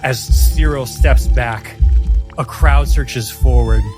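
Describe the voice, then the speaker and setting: Slow-paced male game master narrating with music. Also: mid-range voice. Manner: measured, deliberate, theatrical pauses. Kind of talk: dramatic narration of a tabletop roleplaying story.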